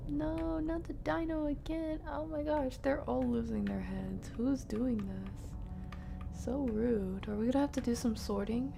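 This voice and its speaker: A woman's voice: high-pitched, steady tone, unhurried, vocal fry, noisy background. A woman giving casual, playful live game commentary in slangy language, often murmuring to herself and slipping into whispers. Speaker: game streamer.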